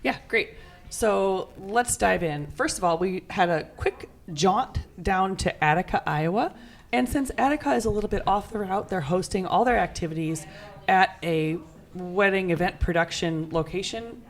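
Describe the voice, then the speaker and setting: A woman's voice: mid-range voice, normal speed. A woman co-hosting a cycling podcast, upbeat and informative in casual chat. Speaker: podcast co-host. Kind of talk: casual chat with scripted intro.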